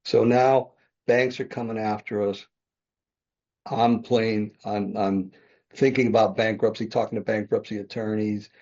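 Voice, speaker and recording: Gravelly-toned older man telling his story. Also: fairly low pitch, little pitch movement, unhurried, a little mellow. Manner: rambling, self-correcting, chuckles often. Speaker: podcast guest, real estate developer. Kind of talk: conversational interview storytelling. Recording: dull lo-fi podcast audio.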